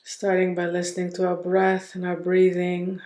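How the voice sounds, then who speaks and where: A woman leading a guided meditation in a gentle, unhurried manner, leaving long pauses between phrases. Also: on the low side, completely flat delivery, reverberant, soft background music.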